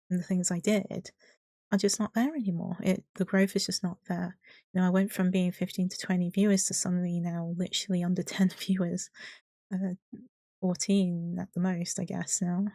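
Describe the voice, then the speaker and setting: A woman's voice: lowish voice, warm tone, no echo. A woman giving an unscripted personal update to her followers in a candid, rambling, hesitant way. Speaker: streamer and video creator.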